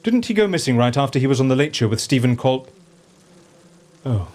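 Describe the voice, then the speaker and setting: An actor playing a witty, pompous prisoner in a comedic audio drama, theatrical and sarcastically polite. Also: male voice, medium pitch, sing-song, brisk, darkish tone, natural voice. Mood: droll, wry. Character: witty, pompous, exasperated male prisoner and schemer.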